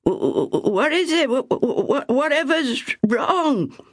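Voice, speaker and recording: A skeptical older woman speaks slowly with drowsy disbelief, voiced by a woman reading aloud. She sounds stubborn and unconvinced. The recording is dry. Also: high voice, very animated, no room sound, pitched above the performer's own voice.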